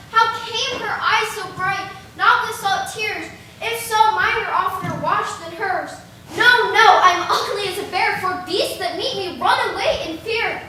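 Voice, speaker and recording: A woman's voice: very high voice, sharp tone, full character voice. A woman playing a lovelorn, self-pitying young woman in a Shakespearean stage play, with plaintive, emphatic delivery. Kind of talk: amateur stage performance of Shakespearean verse. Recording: very echoey hall from far off, faint noise.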